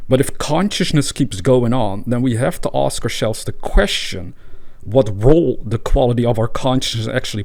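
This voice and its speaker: Calm Dutch-accented man musing. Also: mid-range voice, vocal fry. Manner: calm, reflective, with frequent pauses and fillers. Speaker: podcast host. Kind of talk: spiritual monologue.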